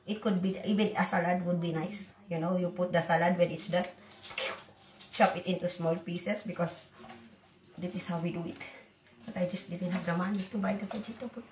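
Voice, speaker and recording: A woman's voice: lowish voice, fairly even intonation, brisk, background noise. A woman from the Philippines with a Filipino accent, a native Waray speaker, rambling bluntly and swearing freely while cooking in a casual home vlog. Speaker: vlogger. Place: home kitchen. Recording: echoey room from far off, loud sizzling.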